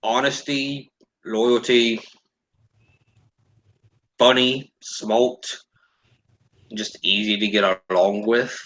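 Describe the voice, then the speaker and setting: A man's voice: mid-range voice, fairly even intonation, extremely slow, pauses often, fairly bright tone, low-quality recording. A man hosting a casual commentary chat in a blunt, sardonic, sweary way. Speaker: host.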